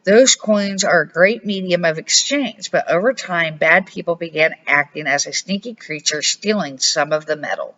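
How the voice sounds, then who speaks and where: A woman's voice: fairly low pitch, normal speed, hardly any pauses, a bit bright, lo-fi sound. An older woman reading the part of a patient old grandfather who explains things emphatically in a children's storybook. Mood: grave, emphatic. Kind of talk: storybook read-aloud.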